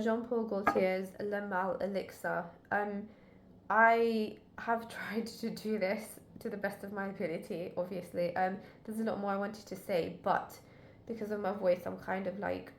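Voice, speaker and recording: A woman's voice: mid-range voice, slight background noise. Woman with a dull, recovering voice. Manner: chatty, talks straight to viewers. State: voice still recovering, lower and dull. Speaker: fragrance reviewer. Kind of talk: casual product review. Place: at her desk indoors. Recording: echoey room.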